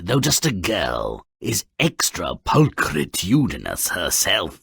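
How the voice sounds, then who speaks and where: A sardonic little gnome narrating twisted storybook tales for a video game. The voice is gravelly and sharp-edged, and it swings wildly up and down in pitch. He drawls theatrically, savoring every nasty detail. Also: dry recording, studio quality, heavily acted. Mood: dry disdain. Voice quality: raspy, gravelly.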